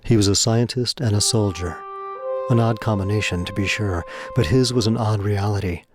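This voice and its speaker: A man's voice: on the low side, moderate pace. A man reading scripted dramatic narration for an audio drama. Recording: music under the voice. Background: music.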